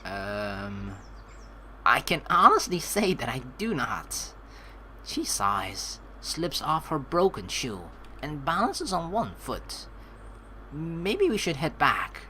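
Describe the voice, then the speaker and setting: A young woman doing let's play commentary, reading game text aloud in an animated, playful, blunt and casual way. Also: low-pitched, takes it slowly, slight background noise, rough recording.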